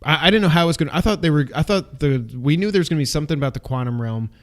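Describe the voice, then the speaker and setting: A man's voice: fairly high pitch, rapid-fire. A man co-hosting a movie review, chatting informally in rambling, self-correcting sentences. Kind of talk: casual chat, film discussion.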